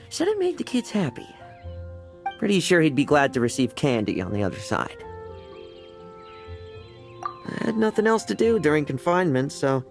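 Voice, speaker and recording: An actor playing a cocky, wry young knight in a video game, with a sardonic, nonchalant delivery. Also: medium pitch. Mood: wistful, wry. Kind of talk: dramatic game voice acting.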